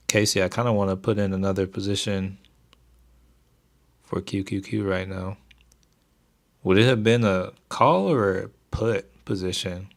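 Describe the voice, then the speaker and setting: A young man with a very low voice that stays soothing and even. He hosts a stock trading livestream, chatting loosely with his viewers and reading their comments aloud in a relaxed way. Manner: laid-back, keeps delivery even, reads chat aloud. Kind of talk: casual livestream chat with viewers.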